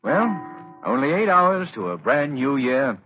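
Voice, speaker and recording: A man's voice: mid-range voice, sing-song, unhurried, faint music behind. An actor playing a courteous, assured, wealthy young man about town in a 1930s radio drama. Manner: polite, urbane, earnest. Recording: muffled old radio recording.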